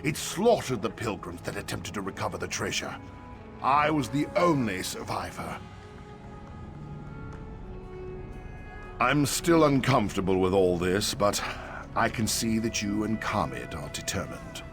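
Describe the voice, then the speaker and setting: A man voicing a weary, cautious old survivor in a fantasy video game, speaking gravely and with doubt. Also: on the low side, lively intonation, normal speed. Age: older. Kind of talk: AI-voiced fantasy game dialogue. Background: game music.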